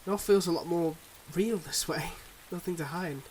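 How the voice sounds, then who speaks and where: Male actor as suave mob boss boyfriend, fairly high voice, confiding and teasing, intimate ASMR roleplay, rain behind. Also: normal speed, darkish tone, a little hiss or hum, close to an everyday voice. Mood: charming, relaxed. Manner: smooth, confiding, teasing, speaking to a listener.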